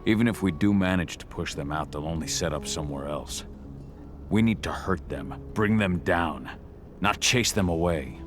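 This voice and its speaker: A man acts out a brooding, combative werewolf in a video game. His voice sits extremely deep, gruff and blunt, with a protective wariness. Music plays clearly behind him. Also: normal speed, natural voice. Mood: doubtful, defiant. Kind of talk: dramatic video game acting.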